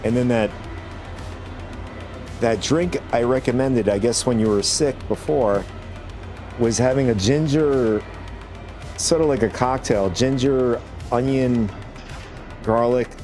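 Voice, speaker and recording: A man's voice: mid-range voice, takes it slowly, long pauses, a little mellow. Guy with a scratchy cold voice rambling while he games, faint music behind. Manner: rambling, many fillers and restarts. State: raspy voice from a cold. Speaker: streamer. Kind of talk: casual chat while gaming.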